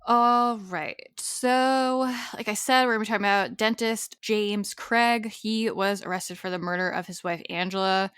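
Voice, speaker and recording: A woman's voice: mid-range voice, steady tone, moderate pace, few pauses, fairly bright tone, smooth, no room sound. A woman co-hosting a true crime podcast, chatty and rambling, a little sniffly from allergies. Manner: chatty, rambling, self-deprecating. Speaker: podcast co-host. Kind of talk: casual chat.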